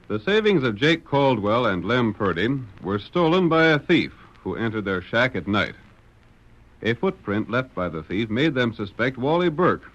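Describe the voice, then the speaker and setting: A man's voice: mid-range voice, a bit bright. A man reading scripted narration as a 1940s radio announcer. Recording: muffled old radio recording.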